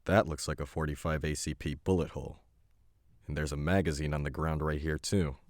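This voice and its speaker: A man playing a deep-voiced tough gunman. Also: fairly even intonation, fairly quick, no room sound, studio quality. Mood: gruff. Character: tough, blunt man, gun-savvy fighter.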